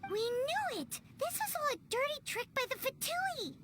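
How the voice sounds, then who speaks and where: A woman voicing a tiny, cheeky, childlike fairy companion in a video game, with a squeaky, exclamatory delivery. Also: sing-song, normal speed, background noise. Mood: indignant. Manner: refers to self in third person, exclamatory.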